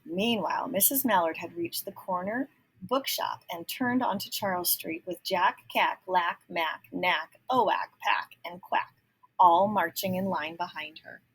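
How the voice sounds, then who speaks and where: A woman reading a story aloud to young children, pausing to explain words and ask questions. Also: on the low side, fairly bright tone, breathy edge, low-quality recording. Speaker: picture book reader.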